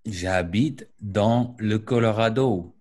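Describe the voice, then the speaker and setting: A middle-aged man from the United States answers over a video call from his home, speaking French with an American accent. He places each word slowly and deliberately, in a fairly low voice. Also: a little mellow. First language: English. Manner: careful, deliberate learner's French. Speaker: guest, video editor. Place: home room with paintings and lamp, indoors. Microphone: webcam on a video call.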